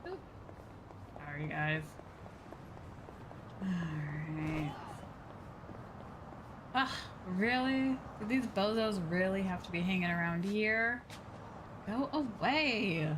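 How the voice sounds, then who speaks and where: Chatty woman streamer, relaxed pace. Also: medium pitch, slow pace, long pauses, noisy background. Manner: expressive, chatty reactions, reads game dialogue aloud. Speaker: streamer playing a video game. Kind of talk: casual playthrough commentary. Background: video game music.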